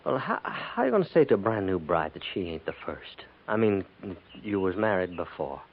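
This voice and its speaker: An actor playing a troubled, plainspoken cavalry trooper with a rural Western American accent, halting and deferential, in a 1950s radio drama. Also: male voice, deep voice, big pitch swings, heavily acted. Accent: rural American West. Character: troubled, plainspoken frontier cavalry trooper, newly remarried widower. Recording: tinny, noisy 1950s radio recording.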